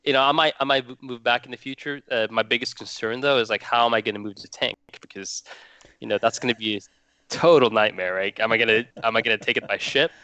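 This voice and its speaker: A man's voice: mid-range voice, quick pace, sharp tone, clear, resonant tone. A young man from Norway chatting casually as a guest, rambling and restarting his phrases. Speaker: guest hobbyist. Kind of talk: casual chat.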